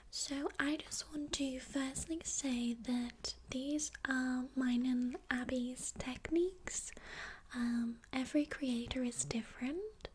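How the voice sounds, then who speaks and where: A woman with a high voice speaks slowly and gently in an ASMR role-play, sliding between soft speech and breathy whispers. She keeps checking in with a calm "okay?", and a faint hiss sits under the recording. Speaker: ASMR creator.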